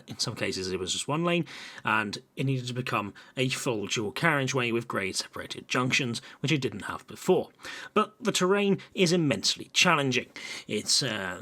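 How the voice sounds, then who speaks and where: A young man from Brighton and Hove, an engineer giving a casual, chatty technical presentation with frequent filler words. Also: mid-range voice. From Brighton and Hove, England. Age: young adult.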